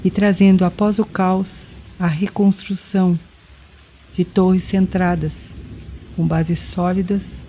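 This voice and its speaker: A Brazilian woman narrating a guided meditation in a deliberate, soothing way, leaving pauses between phrases. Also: fairly low pitch, flat delivery, warm tone, slight background noise, poor audio. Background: music with rain and thunder sounds.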